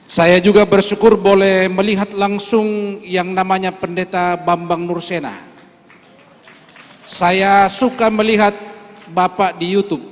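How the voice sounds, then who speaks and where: Higher-voiced man from toraja, church leader, earnest solemn prayer, long echo off a big hall. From Toraja, Indonesia. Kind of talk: church speech and closing prayer.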